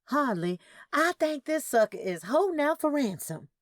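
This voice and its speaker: A woman's voice: sits high, big pitch swings, normal speed, no room sound, clean studio sound, voice pushed up. A woman voicing a dramatic, whiny, exasperated pregnant sister in an audio story. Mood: defiant, exasperated.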